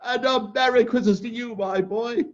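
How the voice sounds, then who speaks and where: A man's voice: very high-pitched, steady tone, moderate pace, pitched above the performer's own voice. A man reading aloud and voicing an elderly miser overcome with joy, breathless and cackling, in a dramatic old-time radio style, on a dull lo-fi recording. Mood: jubilant.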